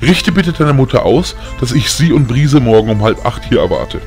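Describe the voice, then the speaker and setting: A man voicing a strict, gruff but loving old grandfather who lectures sternly in an audio story. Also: mid-range voice, animated, moderate pace, darkish tone, soft background music, close to an everyday voice. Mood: gruff, fond.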